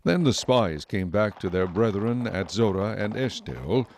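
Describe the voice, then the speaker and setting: A man reading scripted Bible narration in a measured, solemn storytelling manner. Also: lowish voice, big pitch swings, noisy background. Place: dry studio.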